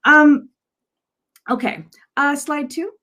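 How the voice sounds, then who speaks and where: A woman's voice: sits high, fairly even intonation, slow, fairly bright tone. A woman giving an earnest slide presentation on a video call as a director, with a hesitant delivery. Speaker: adult education director presenting. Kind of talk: virtual slide presentation to an audience. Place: virtual event on video call.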